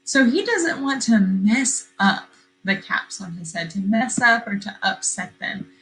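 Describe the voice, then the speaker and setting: A woman's voice: mid-range voice, animated, unhurried, a bit bright, faint music behind, rough recording. A woman reading a picture book aloud as a teacher to young children, explaining and asking questions as she goes. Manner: explanatory, questioning, carefully enunciated.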